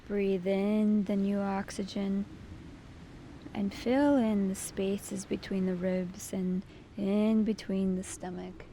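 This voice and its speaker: Slow, mellow woman guiding meditation. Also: mid-range voice, level tone, slight background noise, rough recording. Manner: soothing, gentle, unhurried instruction. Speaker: meditation guide. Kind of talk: guided meditation.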